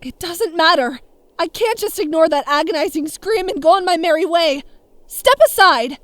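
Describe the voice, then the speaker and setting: A woman's voice: very high-pitched, animated, normal speed, fairly bright tone, no echo. A woman voicing an earnest, anxious young schoolteacher with emotional defiance in a horror game dub. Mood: defiant, pleading. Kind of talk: dramatic fan game dub voice acting.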